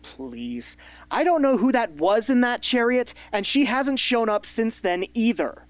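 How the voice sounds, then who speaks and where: A woman's voice: on the high side, very animated, unhurried, dry recording. A woman playing a blunt, sarcastic, exasperated mentor in a radio drama, scolding as she talks. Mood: critical, exasperated.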